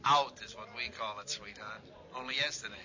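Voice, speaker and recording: An actor playing a sly, teasing American ex-convict in an old-time radio drama, heard through a telephone filter with drawn-out phrasing. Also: male voice, lowish voice, sharp tone. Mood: pressing. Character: taunting, smooth ex-convict calling an old flame. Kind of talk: 1940s radio drama acting. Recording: dull, thin old radio phone-call sound.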